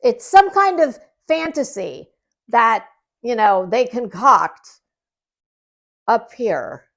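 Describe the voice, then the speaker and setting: A woman with a notably high-pitched voice talks at an unhurried, slow pace. She delivers casual online commentary with a mocking, sarcastic edge. Her manner is blunt and emphatic, and she is not shy about swearing. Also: lively intonation. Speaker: commentary channel creator.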